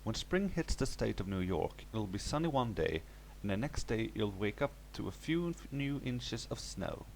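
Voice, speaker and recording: A man's voice: on the low side, very animated, moderate pace, vocal fry, hardly any pauses, slight background noise, rough recording. A man reading a scripted first-person horror story as its narrator.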